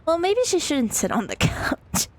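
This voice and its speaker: High-pitched, giggly woman gamer. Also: huge pitch swings, measured pace. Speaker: streamer reading a visual novel demo. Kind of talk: playthrough reading with amused asides.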